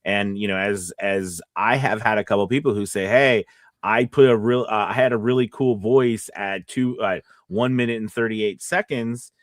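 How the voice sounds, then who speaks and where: A man's voice: on the low side, moderate pace, dry recording, clean studio sound. Rambling man co-hosting a casual live stream. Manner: rambling, false starts and self-interruptions. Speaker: co-host, voiceover casting director and coach. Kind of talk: casual live-stream chat answering viewer questions.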